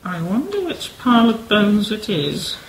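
A woman as a sceptical, animated sidekick. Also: medium pitch, measured pace, vocal fry. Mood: startled, curious. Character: sceptical, grumbling female sidekick to an amateur sleuth. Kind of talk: amateur radio play acting. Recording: noisy amateur radio play recording.

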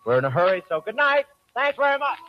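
A man's voice: extremely high pitch, very animated, fast talker, loud music behind the voice. A man signing off as an entertainer on a vintage radio broadcast. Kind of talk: 1940s radio entertainer sign-off. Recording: muffled old radio broadcast recording.

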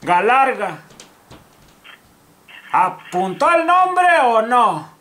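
A man with a Mexican accent playing a slow, stubborn, confused old grandfather on a prank call, rambling and repeating himself. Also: very high voice, very animated, bright, forceful tone, heavily acted. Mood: insistent, fussy. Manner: rambling, repetitive, feigning confusion. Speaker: prank caller. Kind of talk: comic phone prank. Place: living room, speaking to a handheld phone on speaker. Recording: noisy living room with phone clicks.

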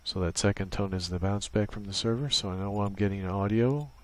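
A man's voice: lowish voice, a little fast. A man presenting an unscripted tutorial, explaining a setup casually, step by step. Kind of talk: casual unscripted software walkthrough.

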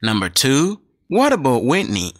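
Man reading lively textbook dialogues. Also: medium pitch, unhurried, dry recording, studio quality. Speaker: textbook audio reader. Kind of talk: scripted dialogue reading for learners.

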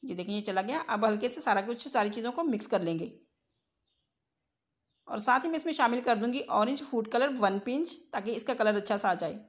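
High-voiced woman narrating recipes. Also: a little fast. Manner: step-by-step, instructional. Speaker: home cook hosting a cooking channel. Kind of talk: recipe tutorial voice-over.

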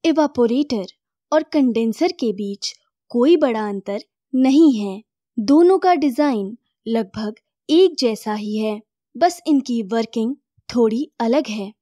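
A woman giving a scripted voice-over for an animated technical lesson, in a measured explaining tone. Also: on the high side, dry recording.

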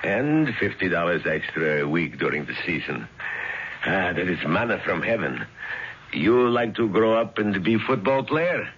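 An actor playing a hearty Slavic immigrant uncle in a 1970s radio drama, jovial, speaking broken English with a Slavic accent. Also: male voice, low-pitched, lively intonation, a little slow, a bit bright, slight background noise, exaggerated voice. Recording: dull lo-fi 1970s radio recording.

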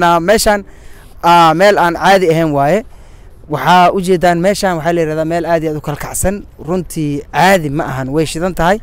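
A Somali man hosting a street vlog outdoors, speaking halting, broken English and Somali with a Somali accent. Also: high-pitched, clear, resonant tone. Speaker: vlogger host. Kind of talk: casual street vlog interviews. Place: outdoors at a city monument.

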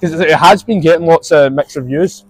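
Young Scottish man, podcast co-host, rapid eager questions, lapel mic outdoors, dry. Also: a little high, no echo. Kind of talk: casual football chat. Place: outdoors in an empty stadium stand.

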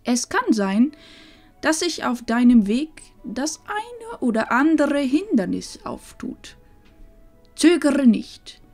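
A woman playing a loving, wise old grandmother in a fantasy audio drama, speaking solemnly and tenderly with breathy phrase endings. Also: high voice, big pitch swings, slow pace, darkish tone, faint music behind, full character voice. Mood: solemn, earnest.

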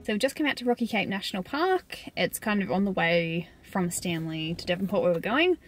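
A woman's voice: mid-range voice, lively intonation, brisk, over music. A young Australian woman chatting casually to a handheld phone camera while out walking and driving. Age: young adult. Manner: chatty, lots of "like" and laughs. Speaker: travel vlogger. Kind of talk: casual travel vlog. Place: outdoors on forest and coastal walks, and in a car. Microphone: phone camera at arm's length.

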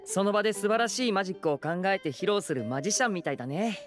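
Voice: medium pitch, animated, quick pace, music in the background, natural voice. An actor voicing a suave, genial young male stage magician in an anime game, light and wry. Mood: wry amusement.

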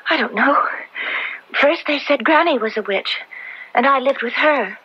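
An actress in an old radio drama playing a frightened young maid condemned as a witch, halting, tremulous and pleading, often dropping to a breathy whisper. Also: high-pitched. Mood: sad, halting. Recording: dull lo-fi old radio recording.

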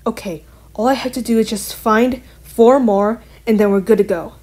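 Woman as an earnest bat girl, highish voice. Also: darkish tone, natural voice. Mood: self-encouraging. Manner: hesitant, halting, casual. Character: earnest, wary young female bat heroine. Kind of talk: amateur animated voice acting.

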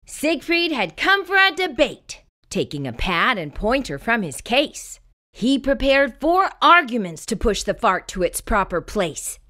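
Expressive bright-voiced woman storyteller. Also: a little high, measured pace. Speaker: storyteller reading a children's picture book. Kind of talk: expressive scripted read-aloud narration.

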